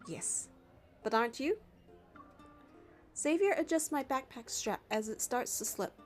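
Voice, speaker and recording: Female narrator, high voice, scripted game story reading with music underneath. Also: animated, unhurried. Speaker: narrator and reader of a game playthrough. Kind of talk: scripted story narration with dialogue read aloud. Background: background music.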